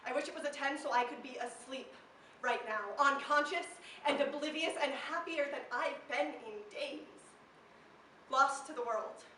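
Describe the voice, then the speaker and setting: High-voiced teenage girl performing slam poetry, echoey room. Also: normal speed. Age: teenager, seventeen. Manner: impassioned, emphatic, dramatic delivery with swells and hushes. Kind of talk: spoken-word slam poetry recital. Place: live poetry slam venue, indoors. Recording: echoey room, distant mic, faint noise.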